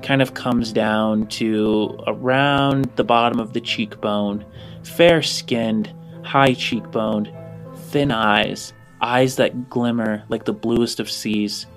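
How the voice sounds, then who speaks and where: A man acts as game master for a sci-fi tabletop game. He has a medium-pitched voice and narrates in deliberate, stretched phrases. Quiet music plays underneath. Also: fairly even intonation. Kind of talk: improvised descriptive game narration.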